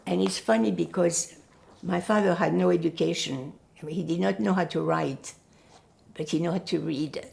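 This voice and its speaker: An older woman whose first language is Yiddish, recalling her family in an oral history interview, pausing often to search for words. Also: on the low side, gravelly, darkish tone. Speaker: Holocaust survivor giving testimony.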